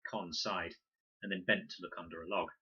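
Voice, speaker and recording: Forceful, husky-voiced man reading a story. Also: deep voice, steady tone, brisk, muffled recording. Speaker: story reader, teacher. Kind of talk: scripted narration, children's novel read aloud.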